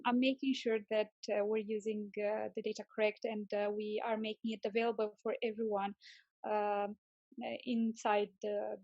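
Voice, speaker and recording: A woman from Romania, answering interview questions casually and enthusiastically, often pausing to search for words. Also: medium pitch, a little mellow, no room sound, muffled recording. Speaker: interview guest, tech manager.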